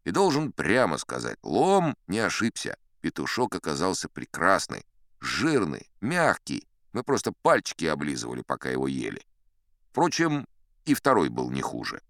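Deep-voiced old captain spinning yarns. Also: male voice, huge pitch swings, moderate pace, fairly bright tone, dry recording, studio quality, heavily acted. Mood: self-satisfied, leisurely. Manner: conversational, sly, leisurely, addressing a young listener. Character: old sea captain spinning tall tales, folksy, self-assured. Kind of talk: dramatized audiobook storytelling.

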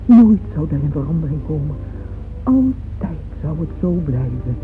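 A woman's voice: low voice, big pitch swings, over music. An actress playing an anguished, remorseful mother in a radio play, confessing to a former lover with solemn, intense emotion. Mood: wistful, confessional. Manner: solemn, slow, intensely emotional delivery with whispers. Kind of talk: dramatic radio play monologue. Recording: tinny, noisy old radio drama recording.

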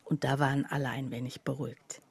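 A woman acting in a radio play as a reflective friend who narrates in a confiding, measured way. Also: rumbling low, flat delivery, vocal fry, slightly rough, no echo, heavily acted. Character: reflective woman narrator, loyal, envious friend of accused mother.

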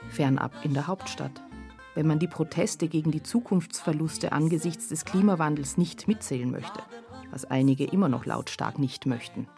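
A woman's voice: deep voice, moderate pace, warm tone. An actress playing a sardonic, indignant woman observer in a scripted literary radio play. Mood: dry, pointed. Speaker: performer in a literary audio piece. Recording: music behind the voice.

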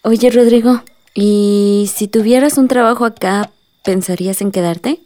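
A woman's voice: mid-range voice, a little slow, mellow, natural voice. Independent young woman played by a woman, natural, easily exasperated, mid-range voice, radio drama. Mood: earnest.

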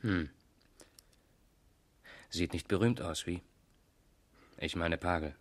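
Deep-voiced, terse police major. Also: male voice, slow pace. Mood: probing, sober. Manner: terse, questioning. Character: terse, probing male police major, the superior officer. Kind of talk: 1970s German radio crime drama acting.

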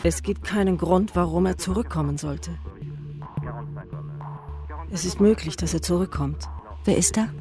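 An actress playing an anguished woman waiting for her husband, in a measured, introspective radio drama monologue. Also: lowish voice, natural voice. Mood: anxious waiting. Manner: measured, introspective, short fragmented sentences. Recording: music behind the voice.

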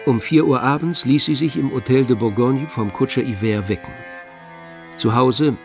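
A man's voice: medium pitch, moderate pace, a little mellow, faint music behind. A man narrating a literary radio drama in a scripted storytelling style.

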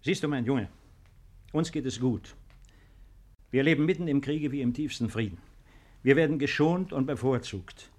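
Principled estate-owning father, middle-aged male actor. Deliberate, earnest, unbending delivery for a 1950s radio drama. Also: medium pitch, close to an everyday voice. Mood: firm, reproachful. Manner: measured, earnest, deliberate phrasing.

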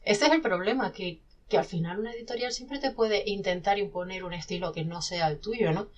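A woman's voice: mid-range voice, a little fast, highs cut off. A woman, a self-published novelist, talking animatedly in a casual podcast conversation with many restarts.

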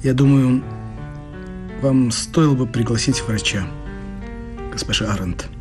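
A man's voice: medium pitch, a little slow, lightly acted. An actor playing a blunt, persistent man in a radio play, with a cool, pointed and condescending delivery. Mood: cutting. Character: blunt, persistent Israeli man confronting an older woman. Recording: guitar music under the voice. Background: plucked guitar music.

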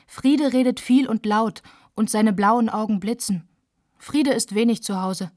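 A woman narrating literary text for a radio play in a measured, clear manner. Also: medium pitch, darkish tone, no echo, clean studio sound.

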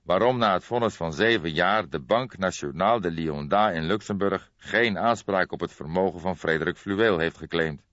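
A man voicing a weary, reflective old detective who speaks in a deliberate, solemn way in an audiobook. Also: deep voice, a bit bright. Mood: grave. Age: older. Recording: boxy, echoey, dull recording.

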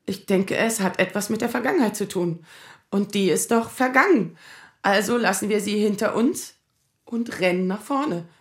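A woman's voice: medium pitch, echoey room, close to an everyday voice. An actress playing a distraught woman in her thirties in an audio drama, speaking in anxious, stammering bursts. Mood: agitated, fearful. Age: 30s. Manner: halting, stammering. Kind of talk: naturalistic audio drama acting.

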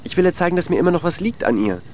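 A man's voice: high voice, expressive, rapid-fire, darkish tone, a little hiss or hum. An actor playing a needy, insecure, lovelorn man who dithers and pleads in a naturalistic radio drama. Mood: anxious, dithering.

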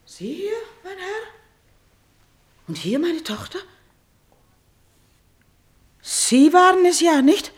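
A woman's voice: very high voice, sing-song, slow pace, long pauses, full character voice. An actress playing a distraught, shrewd noble mother in a classical radio drama, intense and pointedly emphatic in her accusations. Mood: accusing, bitter. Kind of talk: classical German stage-play radio acting.

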